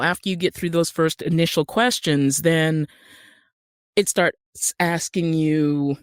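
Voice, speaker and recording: A man's voice: fairly high pitch, no room sound, studio quality. An American man from Utah, a podcast guest who works as an actor and screenwriter, explaining things conversationally. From Utah, USA.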